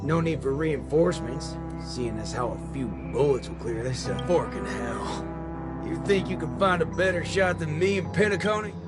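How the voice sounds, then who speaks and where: An actor voicing a brash, gruff cowboy gunslinger with a Western American drawl and folksy swagger in a video game. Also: male voice, a little high, lively intonation, normal speed, close to an everyday voice. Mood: cool, threatening. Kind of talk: video game character acting. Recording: loud game music behind the voice.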